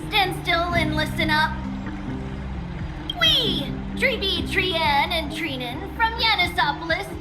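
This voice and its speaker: A woman's voice: very high voice, expressive, takes it slowly, exaggerated voice. A woman voicing a playful little girl demigod in a video game, childlike and sing-song, given to exclaiming. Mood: mock solemn. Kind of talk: video game character acting. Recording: loud music behind the voice.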